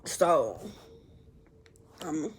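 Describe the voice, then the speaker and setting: A young woman vlogger who stutters, talking haltingly to her viewers with many pauses and restarts, calling them y'all. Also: low voice, lively intonation, a little hiss or hum. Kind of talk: personal vlog, talking to viewers.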